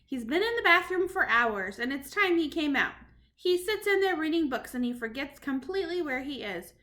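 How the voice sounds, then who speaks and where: A woman's voice: high voice, big pitch swings, normal speed, pitched above the performer's own voice, exaggerated voice. A woman doing a fond elderly grandmother. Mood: composed. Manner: warm, affectionate. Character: loving, composed elderly grandmother.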